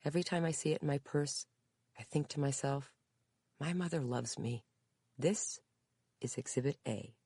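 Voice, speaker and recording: A woman's voice: low-pitched, normal speed, long pauses, dark tone, breathy edge, no echo. A woman reading aloud, voicing an adult daughter who tearfully reassures her mother. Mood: earnest. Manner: emotional, reassuring.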